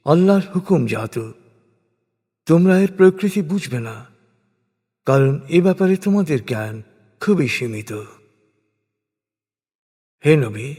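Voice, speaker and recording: A man giving a solemn, measured scripted reading of translated scripture, phrase by phrase with pauses. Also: a little high, a little mellow. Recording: long hall-like reverb.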